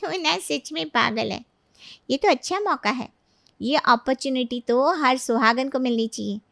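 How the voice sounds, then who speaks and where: A woman's voice: high voice, a little slow, vocal fry, a bit bright, dry recording, studio quality, full character voice. A woman voicing a bossy young female friend in a storybook, handing out cheeky, matter-of-fact advice. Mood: cheeky, advising. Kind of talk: acted Hindi audio storybook dialogue.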